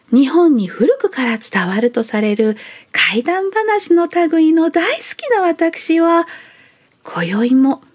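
A woman's voice: high-pitched, a little mellow, heavily acted. A woman playing a dreamy, cute young storyteller in an audio drama promo, speaking in polite, theatrical phrases. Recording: slightly dull audio over music.

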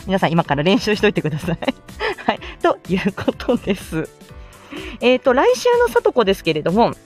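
A woman hosts her casual morning audio show alone over soft background music. Her pitch sits in a middle range for a woman. She rambles through announcements in a giggly, self-deprecating way, hesitating as she goes. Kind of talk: casual solo chat with announcements.

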